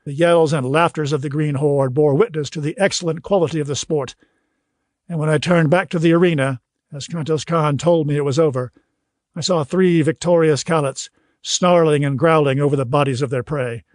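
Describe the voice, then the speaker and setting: A man reading scripted audiobook narration in a measured, literary storytelling manner. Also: fairly high pitch, fairly even intonation, no echo, studio quality.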